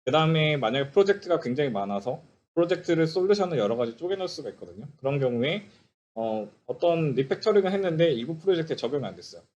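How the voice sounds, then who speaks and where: A man giving a technical presentation as a software developer, explaining politely with hesitant pauses. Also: a little high, big pitch swings. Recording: dull lo-fi audio.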